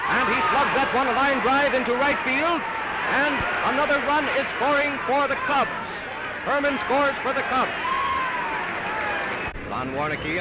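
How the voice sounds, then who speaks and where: An American man giving emphatic, punchy baseball commentary as a newsreel announcer of the 1930s. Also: sits high. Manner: emphatic, declamatory delivery in short punchy phrases. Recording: noisy 1930s optical newsreel soundtrack, crowd roar. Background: stadium crowd noise.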